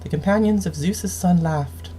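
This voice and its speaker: A woman game streamer reading story texts aloud in a measured, dramatic storytelling manner, with noticeable noise in the recording. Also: on the low side, mellow. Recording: clearly audible background noise.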